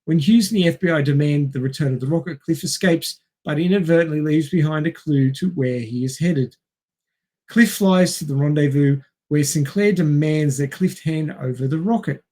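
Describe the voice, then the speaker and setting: A man's voice: on the high side, moderate pace, warm tone. A man co-hosting a film podcast, reading narration and chatting casually with wry, dryly joking asides. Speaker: podcast co-host.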